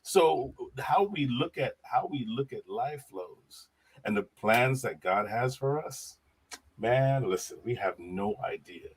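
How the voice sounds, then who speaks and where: A middle-aged man from Jamaica, a guest on a podcast, talking casually about faith and his life, animated and repeating his words, often laughing. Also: medium pitch, slow pace, breathy edge, rough recording.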